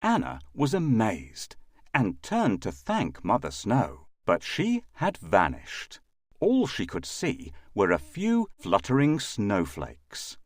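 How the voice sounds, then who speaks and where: A man's voice: mid-range voice, wildly swooping pitch, slow pace, no echo. A man narrating a scripted fairy tale for children as a storyteller.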